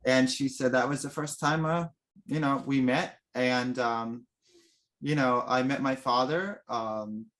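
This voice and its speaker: A man's voice: mid-range voice, fairly even intonation, measured pace, a bit bright, slight background noise. A man whose first language is Russian, telling his own adoption story in an earnest, reflective interview. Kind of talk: personal interview.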